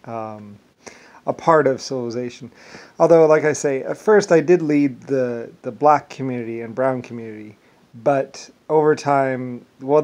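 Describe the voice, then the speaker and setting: A man in his forties gives a casual, rambling monologue in a medium-pitched voice. He moves haltingly from thought to thought and often repeats a word several times to stress it. He sounds like a vlogger speaking into his own device at home. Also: darkish tone. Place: small wood-furnished room at home. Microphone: phone or webcam at arm's length.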